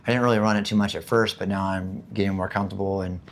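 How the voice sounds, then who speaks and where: A man from the United States with a hoarse voice after being sick, chatting casually and rambling about living costs, with many fillers and restarts. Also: low-pitched, steady tone, fast. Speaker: vlogger. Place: home in the Philippines.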